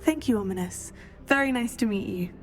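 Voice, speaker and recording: A woman's voice: mid-range voice, animated, brisk, soft background music, lightly acted. A woman playing a polite, confident, inquisitive teenage student witch in a video game. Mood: polite, curious. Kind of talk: scripted video game dialogue.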